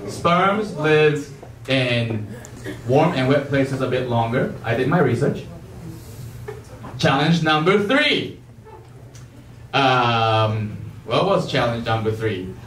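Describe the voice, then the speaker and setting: A young man from Japan performing amateur stand-up comedy on a theater stage with a handheld mic, in an emphatic, halting way that repeats phrases. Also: mid-range voice, lively intonation, fairly bright tone, distant mic, a little hiss or hum. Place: theater stage before a live audience. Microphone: handheld wired microphone. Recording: echoey stage mic, heard from afar.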